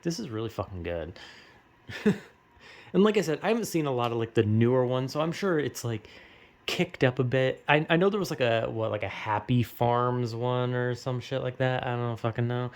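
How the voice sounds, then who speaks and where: A man's voice: medium pitch, expressive, fairly quick. A young American man talks off the cuff in a loose, rambling way. His speech is slangy and full of swearing, like casual opinion commentary among friends. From United States. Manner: rambling, slangy, heavily profane.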